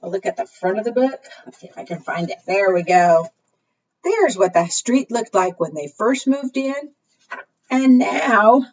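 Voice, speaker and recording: Lady doing kids storytime, husky lowish voice, kinda muffled and far from the mic. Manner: asks the young listeners frequent simple questions; expressive, deliberate teacherly delivery. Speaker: children's librarian hosting preschool storytime. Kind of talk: read-aloud storytelling for young children. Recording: muffled, distant microphone.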